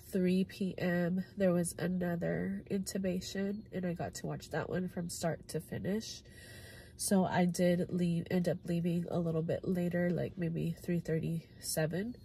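A young woman with a hoarse, fading voice talks through her week in a casual, chatty way, retelling scenes in quoted dialogue. Her tone is low and mellow. Faint hiss sits behind her in a small car cabin. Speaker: respiratory therapy student. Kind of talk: casual vlog recap. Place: parked car. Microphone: phone camera at arm's length.

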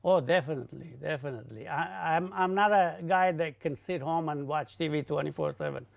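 Creaky, higher-voiced man in his sixties. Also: fairly quick. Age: 60s. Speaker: cassette manufacturer, interviewee. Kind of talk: casual interview talk. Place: small factory workshop, indoors.

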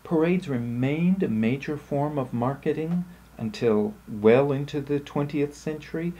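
A man giving an explanatory slide lecture in short, measured phrases with pauses. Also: a little high, dark tone, slightly rough, low-quality recording.

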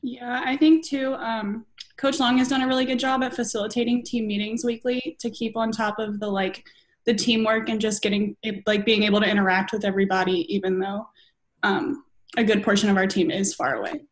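A woman's voice: a little high, flat delivery, brisk. An American woman in her early twenties, answering casually in an interview held over a video call. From Virginia, USA. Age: early 20s. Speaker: college volleyball player, interview guest. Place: indoor room on a video call.

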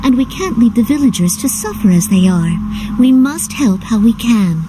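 A woman's voice: on the high side, expressive, normal speed, background noise, natural voice. A woman playing an earnest, resolute young heroine in a video game, speaking firmly and politely.

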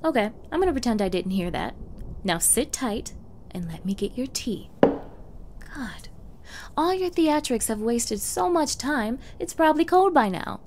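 A woman's voice: on the high side, expressive, measured pace, background noise. A woman playing a sweetly menacing, possessive young girlfriend in an intimate ASMR roleplay, coy and cooing, often dropping into whispers. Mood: sly, teasing, then pouty, scolding. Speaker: roleplay audio performer.

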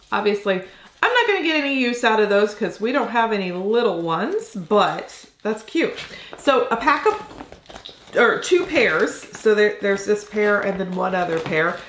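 Slow, chatty woman in an echoey room. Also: mid-range voice, big pitch swings, fairly bright tone, slight background noise. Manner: chatty, thinking aloud while handling items. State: slightly nasal from a cold. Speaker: reseller vlogger. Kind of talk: casual unboxing chat. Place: home office, indoors.

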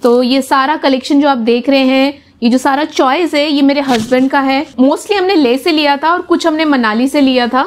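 A woman's voice: high voice, normal speed, hardly any pauses, a little hiss or hum. A woman, chattily presenting a casual shopping haul and explaining to viewers. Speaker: travel vlogger. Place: bedroom at home, indoors.

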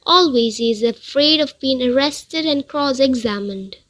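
A compassionate young daughter in a radio play, acted by a woman. She has a high voice and takes her words very slowly. Her manner is polite and earnest. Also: a bit bright. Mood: pleading. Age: young adult. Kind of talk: amateur student radio drama acting.

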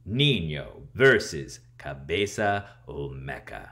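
A man in his thirties reading a picture book aloud and explaining things to children in a theatrical, expressive way. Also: rumbling low, takes it slowly. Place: small room at home, indoors.

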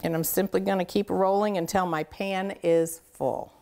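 A woman's voice: lowish voice, fairly even intonation, moderate pace, warm tone. A middle-aged woman hosting a casual cooking demonstration, chatty, folksy and enthusiastic as she talks straight to viewers. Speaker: cooking show host. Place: home kitchen, indoors.

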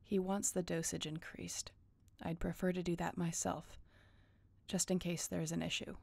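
Casting: actress for cool, controlled female second-in-command, lowish voice with fry, audio roleplay. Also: flat delivery, normal speed, darkish tone, no echo. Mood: cool, firm. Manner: controlled, curt, businesslike. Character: cool dutiful female enforcer of a werewolf alpha. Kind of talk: audio roleplay drama acting.

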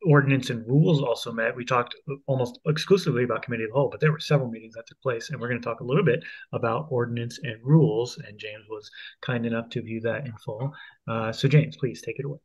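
A man in his thirties hosting a conversational local news discussion, explaining haltingly with repeated restarts. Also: mid-range voice, darkish tone, husky. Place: home room, indoors. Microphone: earphone headset on video call. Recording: dull, lo-fi video call audio.

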